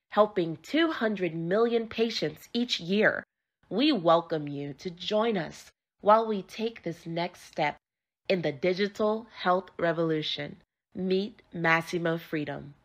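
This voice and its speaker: Lower-pitched woman reading commercial voice-over. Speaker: voice-over artist. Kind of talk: scripted commercial narration.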